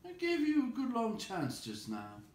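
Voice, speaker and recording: A man's voice: very high-pitched, wildly swooping pitch, unhurried, voice pushed up, full character voice. A man voicing a small, flustered but plucky hobbit in a storybook reading, with an exclamatory delivery. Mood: nervous protest. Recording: roomy echo, noticeable background noise.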